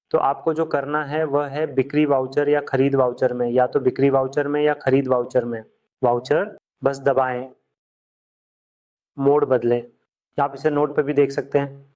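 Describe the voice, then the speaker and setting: A man teaching accounting software step by step, repeating each key point as he goes. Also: medium pitch. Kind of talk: screen-recorded software lesson.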